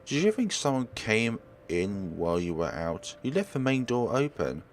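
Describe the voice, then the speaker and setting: A man's voice: lowish voice, sing-song, moderate pace, slight background noise. A man voicing a young female real estate agent in a visual novel, earnest, insistent and protective. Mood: worried.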